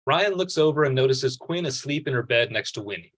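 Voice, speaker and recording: A man's voice: mid-range voice, animated, a little fast, a bit bright. A man giving scripted narration, reading out stage directions at a table read.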